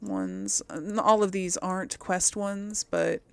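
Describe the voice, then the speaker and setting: Creaky-voiced woman chatting through a game. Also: medium pitch, lively intonation, takes it slowly, dry recording. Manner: musing aloud, often trailing off. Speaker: gaming video host. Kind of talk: casual chatty gameplay commentary.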